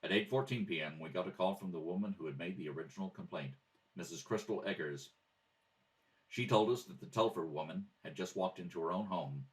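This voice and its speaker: Deep guy doing deadpan cop show narration, matter of fact, kinda far from mic. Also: fairly quick. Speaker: amateur radio theatre reader. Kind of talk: deadpan police procedural narration, scripted. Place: at a kitchen table. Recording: slightly distant home microphone.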